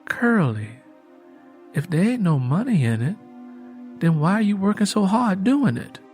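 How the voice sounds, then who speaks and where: A man's voice: high-pitched, big pitch swings, a little slow, a little mellow, faint music behind, voice pushed up. A man voicing an earnest, guarded young country woman with a cautious, pleading manner in an audiobook. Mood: worried, pleading. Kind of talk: dramatised audiobook dialogue.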